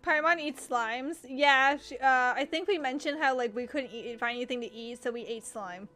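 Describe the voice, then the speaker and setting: A woman's voice: high-pitched, normal speed, pushed and bright. A woman giving casual live commentary as a game streamer, chatty and gushing in her reactions.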